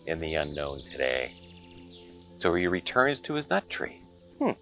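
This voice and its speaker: A man reading a picture book aloud to children in an expressive, dramatic storytelling manner. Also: low voice, vocal fry. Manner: expressive, dramatic pauses and exclamations.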